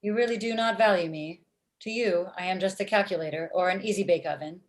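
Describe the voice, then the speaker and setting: A woman's voice: medium pitch, fairly quick, close to an everyday voice. Woman acting a stilted, aggrieved female robot. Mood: hurt. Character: aggrieved, easily won-over female robot matchmaker. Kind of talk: remote table read of a comedy script. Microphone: video call.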